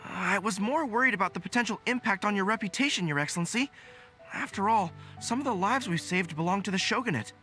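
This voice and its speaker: Earnest, bright-voiced young general. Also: male voice, high-pitched, expressive, fast, soft background music. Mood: worried, respectful. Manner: formal, deferential, earnest. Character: earnest, dutiful young male dog-eared general. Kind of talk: animated video game voice acting.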